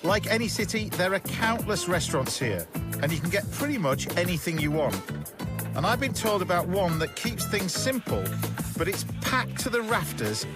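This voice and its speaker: A man's voice: high-pitched, very animated, normal speed. A man narrating a food travel show in an upbeat, scripted voice-over. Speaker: TV host. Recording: voice-over with loud music bed.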